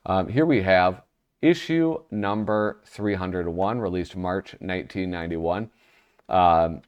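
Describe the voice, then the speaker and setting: Male host, low voice, lively delivery, a bit nasal from a cold, rambling and self-mocking. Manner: chatty, self-deprecating, rambling asides. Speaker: host of a magazine review channel. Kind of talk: casual solo review vlog.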